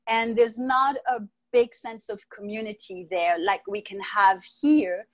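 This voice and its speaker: A middle-aged woman from the United States, raised in Martinique, answering interview questions in a calm, measured way from home over earphones. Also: mid-range voice. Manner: calm, thoughtful, measured explaining. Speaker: guest, Ayurvedic practitioner. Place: home room with bookshelves. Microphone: earphone mic on video call.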